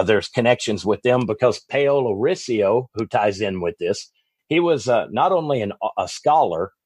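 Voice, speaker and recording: A man's voice: on the low side, slightly rough, no echo. A man with a Texas accent, a guest author giving long, rambling answers in a podcast interview, often restarting and correcting himself. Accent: Texan.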